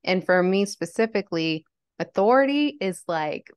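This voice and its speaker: A woman's voice: medium pitch, animated, moderate pace, smooth, no echo, clean studio sound. A young adult woman from Lebanon, a podcast guest, talking candidly and earnestly with lots of "like" fillers. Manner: earnest, reflective, frequent "like" fillers. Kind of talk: candid conversational storytelling.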